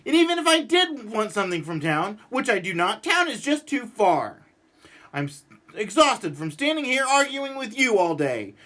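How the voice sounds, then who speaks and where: A man voicing a cranky, stubborn, exasperated man who grumbles and rants in an animated storybook read-aloud. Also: sits high, moderate pace, full character voice. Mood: exasperated ranting. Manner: exasperated, ranting, emphatic.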